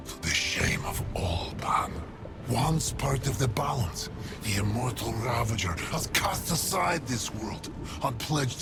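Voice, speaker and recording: Gravelly, ancient, solemn guardian creature. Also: male voice, medium pitch. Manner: grave, halting, archaic phrasing. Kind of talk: video game cutscene acting. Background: loud music.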